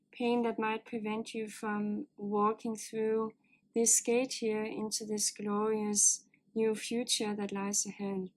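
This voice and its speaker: A woman giving a spiritual oracle card reading to her viewers, in a calm, earnest and gently encouraging way. Her voice is low and a little hoarse, as if she has a cold. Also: low-quality recording.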